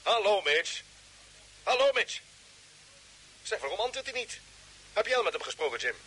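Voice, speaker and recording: An actor playing a brisk, urgent space expedition commander speaking over a radio link in a vintage radio play. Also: male voice, high voice, very animated, sharp tone, breathy edge, heavily acted, poor audio. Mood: urgent, concerned.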